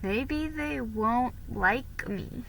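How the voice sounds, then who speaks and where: A woman's voice: a little high, drawn-out words, gravelly, a bit bright, a little hiss or hum, heavily acted, rough recording. A woman voicing a nervous, polite colonial girl with a hesitant, worried manner in a storybook reading.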